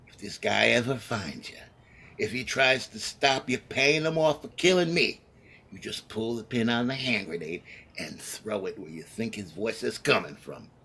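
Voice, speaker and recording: An actor playing a scheming, vengeful condemned gangster with tough slangy talk, in the manner of a 1930s radio crime drama. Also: male voice, fairly low pitch, moderate pace, creaky, bright, forceful tone, slightly rough, full character voice. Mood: coaxing, menacing.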